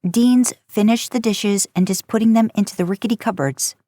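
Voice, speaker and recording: A woman's voice: medium pitch, normal speed, mellow, no room sound, clean studio sound. A woman reading a story aloud in audiobook-style narration. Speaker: podcast host and fan fiction narrator.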